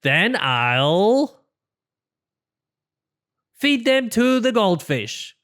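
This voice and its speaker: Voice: medium pitch, very animated, very slow, fairly bright tone, smooth, pitched above the performer's own voice, full character voice. A storybook reader voicing a cheerful, silly, overconfident male porcupine with a breezy, theatrical manner. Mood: confident, upbeat. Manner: breezy, theatrical, self-assured delivery.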